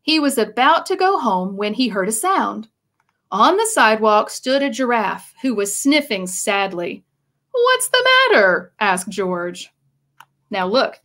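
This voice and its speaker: A woman's voice: fairly high pitch, a bit bright. A woman presenting and reading a picture book aloud to children, speaking expressively and addressing her listeners directly with questions.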